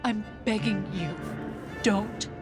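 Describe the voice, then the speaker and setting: An actress playing a desperate, anguished wife in a gothic audio drama, pleading and accusing. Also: female voice, mid-range voice, takes it slowly, close to an everyday voice. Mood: frightened pleading. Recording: music under the voice.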